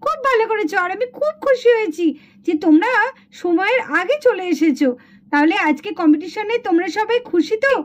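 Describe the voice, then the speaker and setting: A woman's voice: extremely high pitch, lively intonation, a little fast. A woman voicing an instructive schoolteacher doll in a children's puppet cartoon. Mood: cheerful, instructive. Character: female schoolteacher doll running a contest. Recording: dull lo-fi sound.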